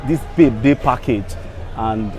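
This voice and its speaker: A man's voice: mid-range voice, slow, soft background music, some chatter behind. A Nigerian man giving his opinion casually, often repeating his phrases as he goes. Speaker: street interview respondent.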